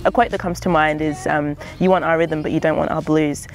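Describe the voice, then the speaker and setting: Low-voiced young woman, thoughtful and hedging. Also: fairly even intonation, brisk. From Zimbabwe. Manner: thoughtful, hedging with frequent "um" and "sort of". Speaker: singer-songwriter. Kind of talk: reflective interview talk.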